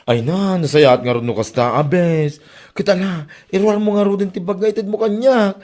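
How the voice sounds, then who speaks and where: A man's voice: high-pitched, normal speed, a little mellow, close to an everyday voice. A man playing an eager, gossipy friend in a comedy drama, exclaiming as he chats. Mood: excited, gossipy. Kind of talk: Ilocano comedy drama acting.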